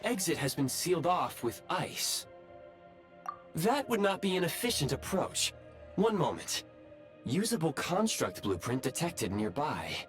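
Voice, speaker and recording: A man voicing a composed, courteous machine-like guide in a video game, speaking in formal, measured announcements. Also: fairly high pitch, very animated. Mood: precise, instructive. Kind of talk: scripted video game voice acting. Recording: game music under the voice.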